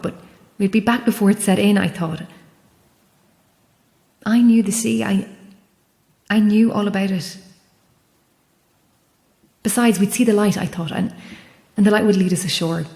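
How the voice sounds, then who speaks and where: Actress as lonely, haunted lighthouse keeper woman for horror radio play; raw, halting delivery, long reverb. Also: medium pitch, a little mellow, natural voice. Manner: halting, confessional, emotionally raw. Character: anguished, guilt-ridden lonely woman lighthouse keeper, increasingly frantic. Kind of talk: immersive horror audio drama acting.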